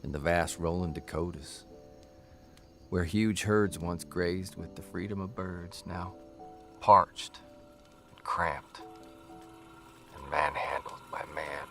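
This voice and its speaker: A brooding road-worn columnist in a radio drama. His voice is low and gravelly, with music underneath. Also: slow, pauses often, bright, forceful tone, exaggerated voice. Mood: somber, reflective. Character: weary, brooding male newspaper columnist on the road. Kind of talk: radio drama narration and dialogue.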